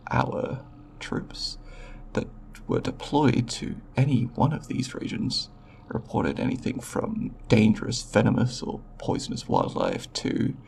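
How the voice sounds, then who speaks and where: A man playing a timid, scholarly alien researcher in an ASMR roleplay, speaking haltingly and politely in formal scientific language with a creaky voice. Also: mid-range voice, darkish tone, slight background noise, full character voice. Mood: puzzled, inquiring. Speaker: roleplay voice performer.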